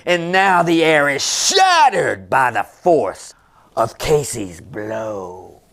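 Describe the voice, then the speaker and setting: Theatrical man reciting verse at a slow pace. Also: sing-song, vocal fry. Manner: theatrical, swings from hushed whispers to high shouts; drawn-out, deliberate emphasis. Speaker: sports columnist reciting a poem. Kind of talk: dramatic poetry reading.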